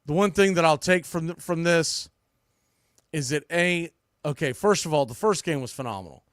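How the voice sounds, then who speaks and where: An American man with a fairly high voice hosts a sports podcast in a dry, close-sounding space. He delivers opinionated baseball commentary bluntly and emphatically, with some salty language. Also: lively intonation, moderate pace, dry recording. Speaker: sports podcast host and play-by-play broadcaster.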